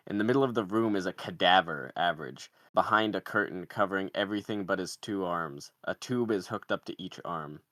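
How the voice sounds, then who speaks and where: Deep-voiced man reading stage directions. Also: fairly bright tone, dry recording, clean studio sound. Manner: reads out screenplay directions. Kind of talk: scripted narration at a table read.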